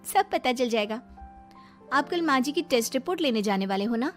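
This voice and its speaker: A woman's voice: sits high, expressive, normal speed. A woman voicing a caring, reasonable young daughter-in-law in a cartoon moral story, polite and explanatory. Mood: concerned. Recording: music behind the voice.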